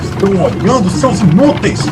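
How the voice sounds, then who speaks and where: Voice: medium pitch, expressive, moderate pace, natural voice. An actor playing an angry, scolding army commander in an audio drama, barking indignantly. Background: loud music.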